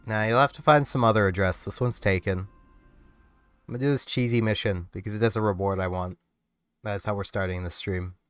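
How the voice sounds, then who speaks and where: A man's voice: lowish voice, steady tone, fairly quick, clean tone, no room sound, faint music behind. A man, sounding a bit congested from a cold, chatting casually and self-mockingly while streaming a game. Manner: rambling, self-mocking, laughs often. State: congested, getting sick. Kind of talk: casual gameplay commentary.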